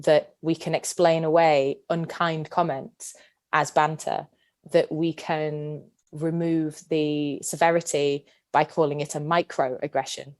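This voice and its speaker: A woman in her thirties from Yorkshire, England, speaking as a podcast guest in an earnest, measured way. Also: deep voice, darkish tone. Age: 30s. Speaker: guest, PE teacher and former athlete. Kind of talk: reflective podcast interview.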